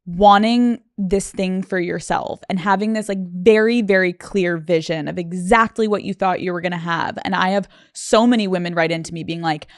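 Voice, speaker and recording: A woman's voice: lowish voice, normal speed, hardly any pauses, no room sound, clean studio sound. A woman hosting a podcast interview, casual and supportive, swearing freely and jumping in with relatable stories. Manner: enthusiastic, supportive, swears casually, mimics voices. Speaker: podcast host. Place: podcast studio.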